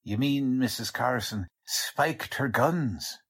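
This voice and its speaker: An actor playing a gruff, hot-headed police sergeant who talks in blunt, emphatic slang, in the style of old-time radio drama. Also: male voice, medium pitch, slow pace, heavily acted. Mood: gruff, curious. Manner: blunt, emphatic, street-slang cop talk.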